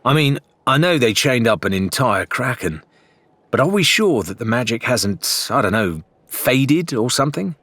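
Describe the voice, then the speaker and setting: A man's voice: mid-range voice, sing-song, moderate pace, fairly bright tone, no echo, pitched above the performer's own voice. A man voicing a doubtful female pirate in an audiobook, with a hesitant, questioning manner. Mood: uneasy doubt.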